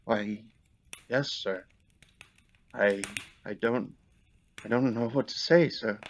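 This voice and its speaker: A man playing a humble, timid clerk, soft-spoken, hesitant and deferential, in a Victorian audio play. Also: mid-range voice, slow pace, a little hiss or hum, pitched below the performer's own voice. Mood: stunned, grateful. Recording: dull lo-fi recording.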